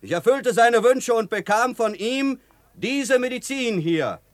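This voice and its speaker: An actor playing a friendly, earnest Apache rider in a German radio drama, deliberate and declamatory. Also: male voice, extremely high pitch, expressive, no echo. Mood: earnest recounting.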